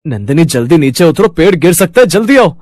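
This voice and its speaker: Man voicing an earnest young hero, animated. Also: on the high side, brisk, no echo. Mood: urgent. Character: earnest, bold young village hero standing up for others. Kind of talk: Hindi moral-story cartoon voice acting.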